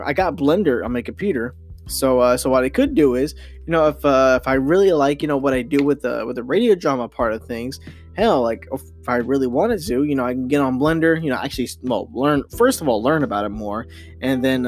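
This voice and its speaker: A young man hosting a casual solo podcast, rambling and excitable, full of restarts and fillers. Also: fairly high pitch. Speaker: podcast host.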